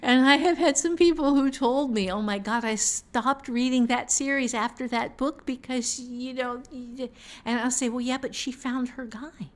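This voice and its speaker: A woman's voice: high voice, steady tone, moderate pace, few pauses. A woman novelist co-hosting a casual discussion of fiction writing, speaking emphatically and often with a chuckle in her talk. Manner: chuckles often while talking, emphatic repetition.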